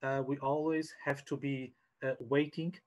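A man leads an online webinar as a coach. His pitch sits in the middle range. He speaks haltingly, often repeating a word as he feels for the next one, and is recorded on a headset. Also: level tone, a little mellow. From Portugal. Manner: hesitant, halting, repeats words. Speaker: sales coach giving a webinar. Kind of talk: informal online presentation. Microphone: headset on a video call.

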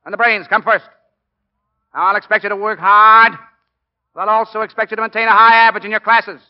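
An actor playing a driven, commanding college football coach in a brisk, urgent 1940s radio style. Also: male voice, high voice, little pitch movement, natural voice. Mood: commanding, stern. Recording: muffled old 1940s radio recording.

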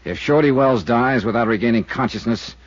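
A man's voice: medium pitch, brisk, no room sound, close to an everyday voice. An actor playing a terse, world-weary male insurance investigator who also narrates, in 1950s radio drama style. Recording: muffled old radio broadcast with music.

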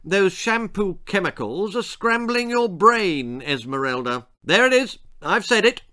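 A man voicing a blunt, exasperated father in a storybook, loud and emphatic. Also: high-pitched, expressive, unhurried, pitched above the performer's own voice, full character voice. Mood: clinical disapproval.

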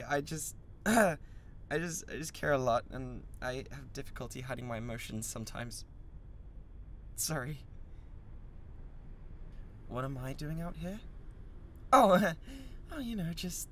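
A man's voice: on the high side, a bit bright, a little hiss or hum. A British man playing an obsessive, lovesick young classmate with an awkward yet menacing manner, in a dramatic ASMR roleplay monologue. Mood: nervous, awkward. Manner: halting, breathy laughs, swinging between shy murmurs and manic outbursts. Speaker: voice actor.

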